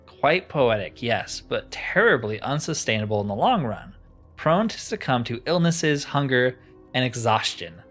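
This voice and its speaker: A haughty ancient enchanter living as a crystal, put on by a man reading a game aloud. He is pompous and theatrical, with a mid-pitched voice that moves up and down a lot. Music plays softly behind. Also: big pitch swings, unhurried. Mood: lofty, boastful. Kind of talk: reading video game dialogue aloud in character.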